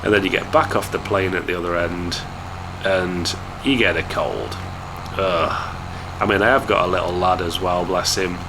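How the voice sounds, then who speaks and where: A young man from England with a hoarse voice from a cold, chatting casually to viewers while commentating a game. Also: low voice, moderate pace, noisy background. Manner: chatty, relaxed, talks straight to viewers. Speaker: gaming YouTuber.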